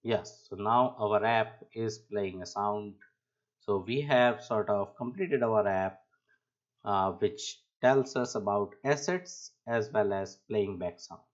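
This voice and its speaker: A man giving an unscripted coding tutorial as an instructor, explaining step by step in a halting way, on a dull lo-fi microphone. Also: lowish voice. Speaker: programming instructor.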